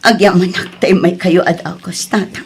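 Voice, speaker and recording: A woman's voice: fairly low pitch, fairly even intonation, slightly rough, soft background music. A woman with an Ilocano accent playing a betrayed, grieving wife and mother in an audio drama, lamenting tearfully. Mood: shaken, subdued. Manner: tearful, slow, drawn-out lamenting.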